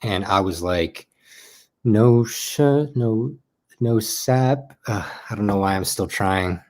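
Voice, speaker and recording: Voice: sits low, takes it slowly, darkish tone. An actor playing an awkward, eager young adult catching up with an old friend in naturalistic play dialogue, hesitant and rambling. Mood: wistful, rambling. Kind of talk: naturalistic play dialogue over video call.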